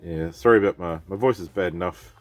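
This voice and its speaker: Low-voiced man with a head cold. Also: brisk, faint music behind. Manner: rambling, thinks aloud, reads on-screen text. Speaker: PC repair vlogger. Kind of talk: casual rambling commentary while working.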